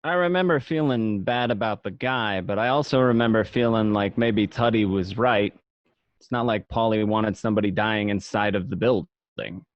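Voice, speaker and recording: A man's voice: on the low side, moderate pace, dry recording, close to an everyday voice. A man playing a nostalgic gangster who narrates his youth among mobsters in an amateur radio play. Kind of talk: first-person dramatic narration. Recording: dry, slightly dull home recording.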